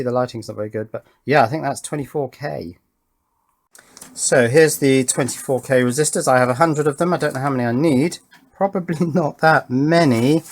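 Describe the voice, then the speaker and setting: A man in his fifties with an English accent, giving casual, rambling commentary as he works, hesitating and questioning himself as he thinks aloud. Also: medium pitch. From England. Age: 50s. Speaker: electronics hobbyist and video maker.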